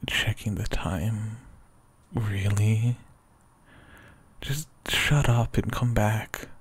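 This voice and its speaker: A young man with a whispery voice speaks softly and sleepily in a teasing boyfriend roleplay, often breaking into quiet laughs. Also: lowish voice, breathy edge. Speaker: ASMR roleplay performer. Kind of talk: soft-spoken ASMR boyfriend roleplay.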